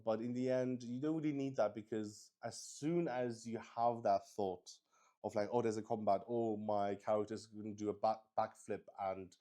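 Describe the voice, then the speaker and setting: A man thinking aloud as he plays and explains a solo roleplaying game on stream, halting and often searching for words. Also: lowish voice, fairly even intonation, mellow.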